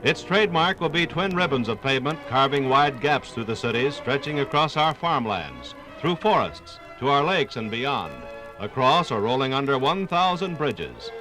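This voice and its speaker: A man's voice: medium pitch, big pitch swings, moderate pace, music in the background, rough recording. A man delivering scripted 1950s radio documentary narration in a dramatic, oratorical way. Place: radio studio.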